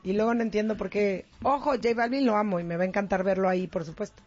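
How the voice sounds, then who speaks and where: Mexican woman yakking away, slangy and animated, a bit dull lo-fi sound. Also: mid-range voice, quick pace. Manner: animated, slangy, talks over others. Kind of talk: casual chat.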